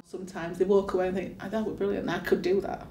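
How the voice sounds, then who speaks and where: A woman's voice: medium pitch, level tone, quick pace, warm tone. A woman, a performer, chatting casually in an interview. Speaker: burlesque performer. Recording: echoey, distant mic, faint noise.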